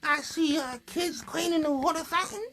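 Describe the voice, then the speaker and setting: A man's voice: extremely high pitch, normal speed, vocal fry, voice pushed up. A man voicing a chatty, sassy young girl puppet who tells stories and complains in colloquial speech. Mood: recounting. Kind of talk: improvised puppet show storytelling.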